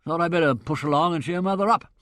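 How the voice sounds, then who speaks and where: A man voicing a blustering, boorish middle-aged country squire, hearty and loud in manner. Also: a little high, quick pace, no room sound, clean studio sound, close to an everyday voice.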